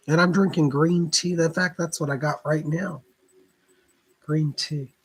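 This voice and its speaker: A man hosting a live psychic reading stream, hoarse from laryngitis, chatting casually and clearing his throat often. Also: fairly high pitch, lively intonation, takes it slowly. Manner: chatty, greets viewers by name, keeps clearing his throat.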